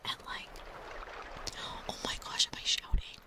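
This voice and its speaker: Whispering woman ASMR streamer. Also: measured pace. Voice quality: whispering, breathy. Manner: playful, rambling, apologetic chatter. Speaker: livestream host.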